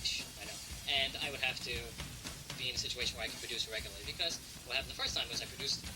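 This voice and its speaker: A man's voice: mid-range voice, racing, poor audio. A man in casual, teasing chat with music playing behind him. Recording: loud music over the chat. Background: loud music.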